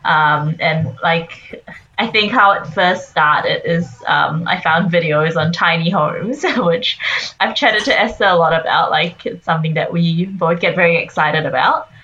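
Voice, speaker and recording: A woman's voice: lowish voice, a little slow, bright, forceful tone, slight background noise. Giggly young woman, dull lo-fi sound. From Singapore and Australia. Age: young adult. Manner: giggly, rambling, with many likes and ums. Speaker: podcast co-host, audiologist. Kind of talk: casual chat. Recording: dull lo-fi recording.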